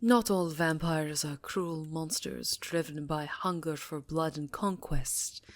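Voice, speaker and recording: A woman playing an imperious, secretive vampire countess in an intimate ASMR roleplay, speaking in a measured, dramatic way that dips into breathy whispers. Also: low voice, no room sound. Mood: wistful.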